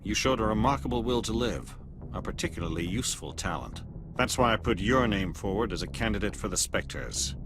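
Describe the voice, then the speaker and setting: An actor playing a coolly pragmatic male alien agent in a video game, speaking in a measured, formal, assured way. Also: fairly low pitch, expressive, background noise. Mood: cool, appraising.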